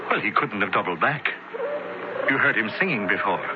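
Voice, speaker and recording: An actor playing a grave, watchful high priest in a radio drama, explaining things in a theatrical way. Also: male voice, lively intonation, moderate pace, natural voice. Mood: concerned. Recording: very noisy muffled old radio broadcast.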